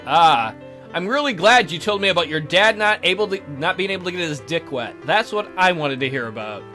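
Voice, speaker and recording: A man's voice: high voice, lively intonation, brisk, pushed and bright, soft background music. A man reading a visual novel game aloud as a let's play host, with crude, irreverent asides.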